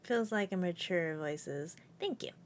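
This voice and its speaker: A young woman streamer chats from home with a cat on her lap, rambling through her thoughts with lots of fillers and frequent giggles. Her voice sits a bit low for a woman. A faint background hiss runs under her voice. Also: lively intonation, measured pace. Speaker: VTuber streamer. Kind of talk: casual live-stream chat.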